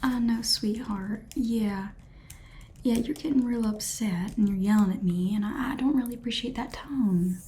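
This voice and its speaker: A woman's voice: a little high, moderate pace, close to an everyday voice. Woman doing a whispery Southern saleswoman. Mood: exasperated. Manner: soft whispering, chatty and sugary. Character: sassy chatty Southern saleswoman receptionist. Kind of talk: whispered ASMR roleplay.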